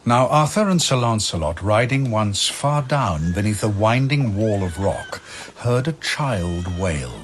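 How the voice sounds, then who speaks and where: Slow, very expressive low male narrator. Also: slight background noise. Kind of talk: dramatic narration of archaic verse.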